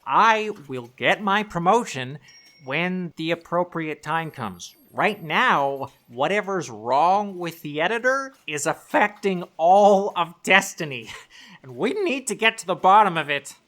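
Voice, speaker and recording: An ambitious female copy aide in a comic audio play. Her voice is on the low side for a woman. She talks in a serious, earnest, slightly officious way. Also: expressive, measured pace, fairly bright tone. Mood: resolute. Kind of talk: comedic audio drama acting.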